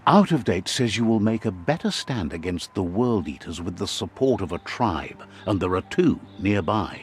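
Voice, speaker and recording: A middle-aged man narrates in a low, deep voice with a lot of rise and fall in his pitch. His delivery is measured and wise, like a scripted storyteller, with soft music behind him.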